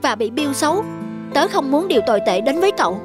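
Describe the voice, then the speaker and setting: An earnest young schoolboy played by a woman in a cartoon, very high in pitch and sincere in delivery. He sounds loyal and principled, over clearly audible music. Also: big pitch swings, quick pace. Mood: hurt, sincere. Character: earnest, loyal schoolboy, hurt but forgiving. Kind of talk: cartoon dubbing. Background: prominent music.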